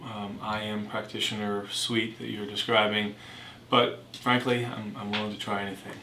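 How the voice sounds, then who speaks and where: A man's voice: fairly low pitch, flat delivery, normal speed, natural voice. A man playing a skeptical but willing and polite cancer patient in a conversational role-play. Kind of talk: amateur role-play dramatization. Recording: echoey room with background noise.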